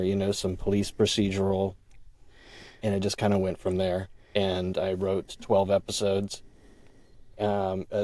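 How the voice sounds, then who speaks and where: A man being interviewed as a podcast guest, telling his own story casually and haltingly, thinking aloud and quoting his own thoughts. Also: on the low side, dark tone, dry recording. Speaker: audio drama creator and writer.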